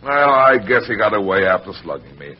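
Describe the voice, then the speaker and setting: An actor playing an unruffled, tough American private detective in an old-time radio crime drama. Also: male voice, on the low side, sing-song, fairly quick, slightly rough. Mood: cool, matter-of-fact. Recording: muffled vintage radio recording, music underneath.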